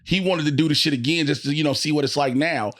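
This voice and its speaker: A man co-hosting a podcast in casual group banter, telling stories with animated slang and plenty of swearing. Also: a little high, quick pace. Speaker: podcast co-host.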